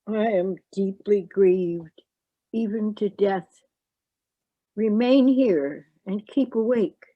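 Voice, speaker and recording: A woman reading the part of Jesus as a grave, sorrowful teacher in a solemn, deliberate scripture reading. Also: medium pitch, no room sound, muffled recording. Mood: grieved.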